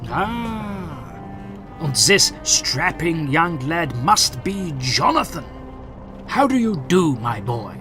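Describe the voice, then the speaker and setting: An older gentleman vampire hunter, acted by a man, courtly and stern in a theatrical audio drama. He speaks slowly and grandly in a fairly high male voice that swings expressively, over music. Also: sing-song. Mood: courtly, warm. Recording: music under the dialogue.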